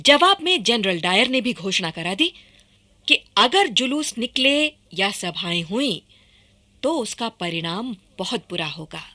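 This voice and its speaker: A woman's voice: medium pitch, big pitch swings, measured pace, sharp tone. A woman narrating a scripted historical radio drama in a formal, emphatic way.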